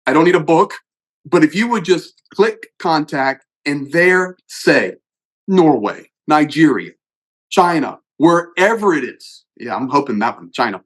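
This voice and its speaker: A man's voice: a little high, lively intonation, unhurried. A middle-aged man who hosts a channel and works as a pastor and aid volunteer, making an earnest, emphatic appeal to viewers. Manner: earnest, emphatic, pushes on through restarts. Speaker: host.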